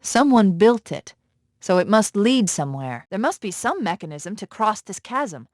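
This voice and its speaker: A woman voicing a quick-witted female military AI companion in a video game, crisp, analytical and commanding, calm but urgent. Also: mid-range voice, dry recording, studio quality, natural voice. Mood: brisk, focused.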